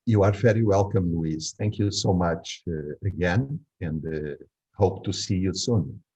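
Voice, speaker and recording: A man in his sixties from Angola, a native Portuguese speaker with a Portuguese accent, recounts his life conversationally in a hesitant, measured way, searching for words. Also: sits low, warm tone, slightly rough, lo-fi sound. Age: 60s. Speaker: interviewee. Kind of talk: reflective conversational storytelling.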